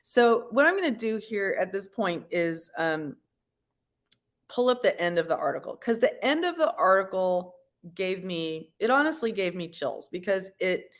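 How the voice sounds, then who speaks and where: A woman hosting a livestream, giving commentary to viewers in a halting, deliberate, explanatory way. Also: mid-range voice, big pitch swings. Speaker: livestream host.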